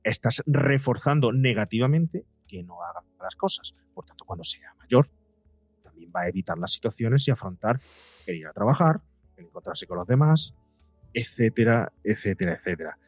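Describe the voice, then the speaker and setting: A man's voice: medium pitch, big pitch swings, normal speed, vocal fry, no room sound, faint music behind. A man from explains as an interview guest, didactically, posing rhetorical questions and speaking conversationally. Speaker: psychologist and podcaster, interview guest.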